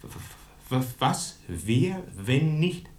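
An actor playing a timid, wounded young outsider in a radio drama, speaking haltingly with a heavy stutter. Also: male voice, mid-range voice, sing-song, roomy sound. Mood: hurt, matter-of-fact.

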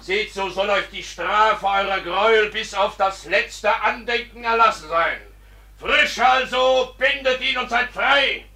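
Piercing, pompous scolding priest. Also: male voice, high-pitched, a little slow, gravelly, pushed and bright, full character voice. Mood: stern, condescending. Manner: pompous, declamatory, preachy. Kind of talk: classical stage-style radio drama acting.

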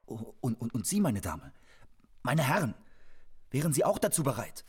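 A husky, aggrieved man, halting and indignant. His pitch moves in big swings, in an absurd radio play. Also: big pitch swings, a little mellow. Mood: indignant, flustered. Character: hesitant, aggrieved man demanding his stolen voice back. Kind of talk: absurd comic radio drama acting.

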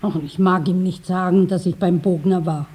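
Middle-aged mother, plain, plaintive, warm muted tone, anxious, old radio play. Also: lowish voice, steady tone, normal speed, husky. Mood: chatty. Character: anxious, chatty mother of a grown son. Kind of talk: 1950s German radio play acting.

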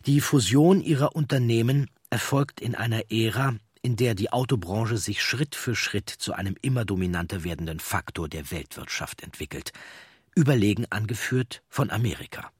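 A man reading scripted documentary narration in a measured, deliberate way. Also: on the low side, slightly rough, no echo, clean studio sound.

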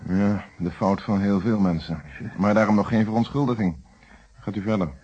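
An actor with a Netherlands Dutch accent playing a stern but patient police inspector in a radio play, probing and matter-of-fact. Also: male voice, lowish voice, steady tone, normal speed, darkish tone, breathy edge. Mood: probing, measured. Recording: dull, band-limited old radio play.

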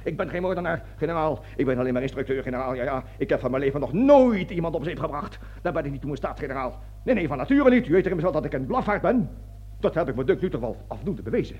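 A dull, lo-fi old radio drama recording of a cowardly army colonel. His voice sits fairly high and a little rough as he blusters, stammers and pleads, forever repeating and justifying himself. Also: sing-song, fast talker, a little mellow. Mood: flustered pleading. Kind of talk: theatrical radio drama acting.

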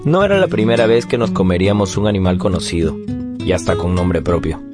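A man acting as a grown son who narrates his family's story in measured, literary radio style. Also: low-pitched, animated. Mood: storytelling. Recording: music under narration.

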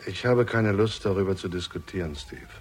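Low, weary actor as withdrawn man, noisy recording. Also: a little fast, lightly acted. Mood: resigned. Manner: quiet, weary, terse. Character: withdrawn, self-pitying, subdued male stage actor in trouble. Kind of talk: 1960s German radio crime drama acting. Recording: noisy, ambient 1960s radio drama recording.

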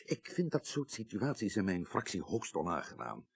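Gravelly, lively Dutch senior politician. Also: male voice, sits low, normal speed, no room sound, exaggerated voice. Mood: confiding, measured. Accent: Dutch, Netherlands. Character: shrewd, worldly male senior politician, wry party leader. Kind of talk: 1980s radio drama acting.

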